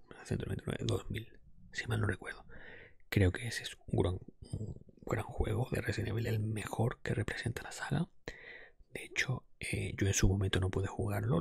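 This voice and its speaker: Man in a husky, breathy ASMR whisper. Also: lowish voice, darkish tone, no room sound, clean studio sound. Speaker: host of an ASMR podcast channel. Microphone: close microphone.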